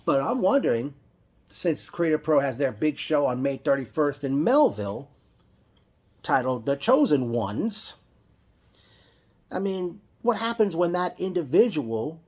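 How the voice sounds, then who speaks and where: A man's voice: a little high, sing-song, measured pace, darkish tone, slightly rough. A man giving a casual, rambling reaction vlog, often correcting himself mid-sentence. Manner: rambling, with frequent restarts and self-corrections. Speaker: wrestling fan vlogger.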